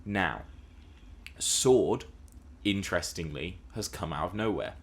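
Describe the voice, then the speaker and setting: A man's voice: sits low, animated, takes it slowly, heavy background noise. A man co-hosting a casual film and TV discussion podcast, talking in an explanatory, emphatic way. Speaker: podcast co-host.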